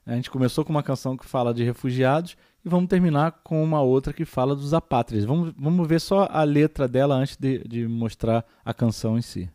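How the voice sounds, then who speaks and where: A man's voice: medium pitch, fairly quick, few pauses, dark tone, no room sound, studio quality. A man with a Brazilian Portuguese accent, teaching a recorded geography lesson and explaining step by step, with many fillers and tag questions.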